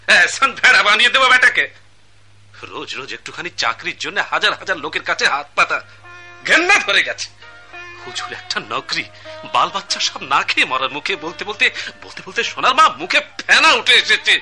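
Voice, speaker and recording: An actor playing a poor, angry slum husband in a radio drama, bitter and defiant. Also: male voice, sits high, bright, forceful tone, full character voice. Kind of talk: Bengali radio play acting.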